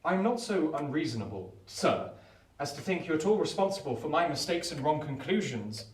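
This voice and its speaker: A young gentleman in a radio drama, earnest and anxious. His speech is formal and urgent, in a lightish higher voice that sounds set back from the mic in a live room. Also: big pitch swings, moderate pace, echoey room, distant mic. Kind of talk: radio play acting.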